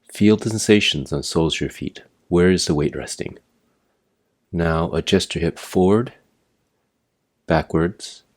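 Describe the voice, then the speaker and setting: A man with an extremely low-pitched voice leads a guided meditation. He speaks as an instructor, giving measured, step-by-step directions in a plainly instructional way. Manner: measured, imperative step-by-step guidance.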